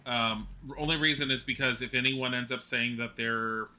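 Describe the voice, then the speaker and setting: Bright-voiced streamer in his forties. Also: mid-range voice, steady tone, moderate pace. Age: 40s. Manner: rambling, chatty, laughs at own mishaps. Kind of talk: casual gameplay commentary while chatting with viewers.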